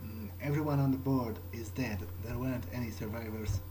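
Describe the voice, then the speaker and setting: A man speaking in character through a game playthrough, halting and often repeating himself. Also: medium pitch, steady tone, a little hiss or hum. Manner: hesitant, halting, repeats words. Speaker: role-playing gamer. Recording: loud music behind.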